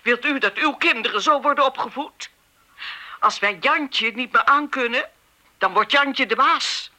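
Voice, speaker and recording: A woman's voice: high-pitched, unhurried, sharp tone, exaggerated voice. A woman playing an embittered, guilt-ridden retired schoolteacher in a radio play, stern and lecturing in manner. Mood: righteous anger. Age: older. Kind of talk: Dutch radio play acting.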